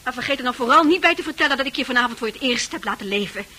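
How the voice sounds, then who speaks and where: An actress in a Dutch radio play playing a scornful, proud prostitute with a brash, mocking manner. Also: female voice, high-pitched, animated, very fast, pushed and bright, heavily acted. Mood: angry, proud. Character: hard, scornful prostitute, proud, wounded underneath.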